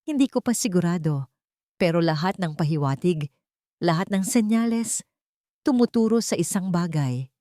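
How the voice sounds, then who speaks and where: Woman voicing an earnest, worried man, lowish voice. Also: lively intonation, moderate pace, pauses often, dark tone, dry recording, clean studio sound, lightly acted. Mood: grave, hesitant. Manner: hesitant, grave, emphatic. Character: earnest, worried adult man confessing in a romance drama.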